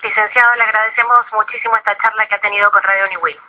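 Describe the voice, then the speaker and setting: Argentine guy co-hosting radio, pretty high voice, polite chat, muffled lo-fi sound. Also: flat delivery, racing. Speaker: radio co-host. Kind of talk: radio chat, courteous sign-off. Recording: dull noisy radio recording.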